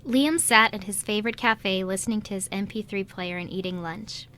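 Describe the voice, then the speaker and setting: A woman's voice: mid-range voice, moderate pace, a bit bright, a little hiss or hum. A woman reading scripted story narration as the narrator of a radio play.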